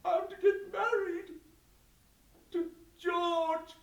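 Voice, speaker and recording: A woman's voice: very high-pitched, steady tone, takes it slowly, voice pushed up, full character voice. A woman voicing a fretful young settler bride who exclaims breathlessly and laughs nervously, recorded roomy and from a distance with faint noise behind. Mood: distressed.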